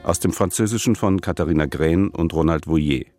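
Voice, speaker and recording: Deep-voiced male radio announcer. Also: a little fast, mellow, soft background music. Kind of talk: scripted radio drama credits.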